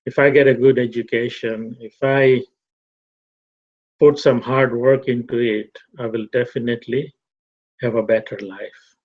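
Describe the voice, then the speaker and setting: A middle-aged man from Tanzania giving a reflective talk to a fellowship, measured and repetitive. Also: mid-range voice, steady tone. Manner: slow, measured, repeats phrases. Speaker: fellowship speaker. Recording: dull lo-fi recording.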